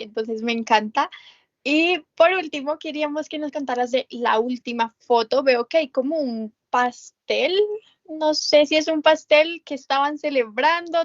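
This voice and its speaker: A woman with a high voice interviews a guest and heaps on warm praise between questions. The sound is dry and a little muffled. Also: no room sound. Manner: effusive, gushing praise.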